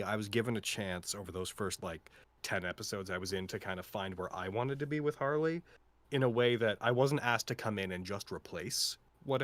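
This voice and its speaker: A man who works as a voice actor, talking casually and reflectively in a talkative, expressive way. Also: lowish voice, dry recording. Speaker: voice actor in an audio drama. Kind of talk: casual reflective cast chat.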